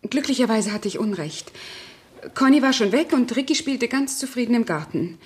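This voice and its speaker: An actress playing an evasive, secretive family friend in a German radio crime play, smoothly explaining things away. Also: female voice, on the high side, expressive, normal speed, a little mellow, natural voice. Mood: apologetic, explaining. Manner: explains herself smoothly, plays down worries.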